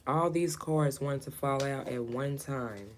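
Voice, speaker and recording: A woman giving a personal tarot reading in a low, light, calming voice, talking gently to spirit and to her client without a clip-on mic. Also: measured pace, slight background noise. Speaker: tarot reader. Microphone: camera mic, no lapel mic.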